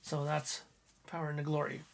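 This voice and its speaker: Hoarse man, throat-clearing, gravelly voice. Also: fairly high pitch, monotone, fairly quick, noisy background. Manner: enthusiastic, frequent throat clearing. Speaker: record collector vlogger. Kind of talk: casual record collection walkthrough. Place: small home music room, indoors. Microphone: headphones on, webcam or desk mic.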